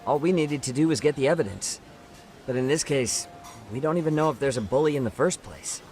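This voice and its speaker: A shrewd private investigator voiced by a man with a medium-pitched voice. He talks in a casual, knowing way, like a character in a game cutscene. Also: a little fast, a little mellow, natural voice. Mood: matter-of-fact. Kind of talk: video game cutscene acting.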